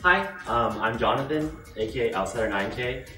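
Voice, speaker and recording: A man's voice: mid-range voice, normal speed, sharp tone. A man in his twenties from California, an esports player speaking earnestly and a little hesitantly. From California, USA. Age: 20s. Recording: echoey, distant, music behind.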